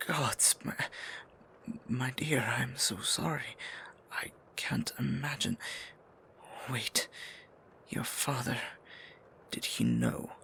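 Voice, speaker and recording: A man playing a tender, possessive, courtly dark sorcerer in an intimate fantasy ASMR roleplay, speaking formally in a breathy, gravelly near-whisper. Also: mid-range voice, huge pitch swings, exaggerated voice. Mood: shocked sympathy. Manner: formal, archaic endearments, slow deliberate phrasing.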